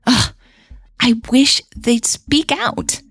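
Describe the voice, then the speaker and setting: Gushy forum gossip played by a female storyteller, pitched up from her usual, questioning upturns. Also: very slow, creaky, a bit bright, husky, dry recording, faint music behind, full character voice. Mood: wistful, gossipy. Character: gushy, gossipy romance fan on an online forum.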